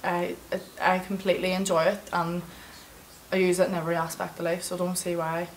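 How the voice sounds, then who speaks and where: A woman's voice: on the low side, little pitch movement, a little fast, slight background noise, low-quality recording. A teenage girl, a student talking casually and eagerly.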